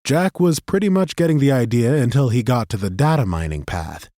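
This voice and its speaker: A man narrating a fantasy audiobook in an expressive, scripted storytelling style. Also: mid-range voice, brisk, dry recording, clean studio sound. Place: dry recording studio.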